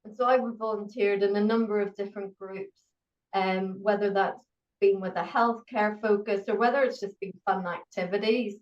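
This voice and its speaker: A woman's voice: mid-range voice, fairly even intonation, moderate pace. A middle-aged woman with a Northern Irish accent, a guest pharmacist chatting in an interview, self-deprecating and full of fillers. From Northern Ireland. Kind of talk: conversational interview answers. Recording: roomy, distant, dull-sounding remote audio.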